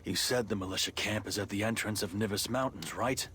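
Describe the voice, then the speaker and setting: Low-voiced easygoing male rune hunter. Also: a little fast, a little hiss or hum. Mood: earnest explaining. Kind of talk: video game cutscene acting. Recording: loud music behind.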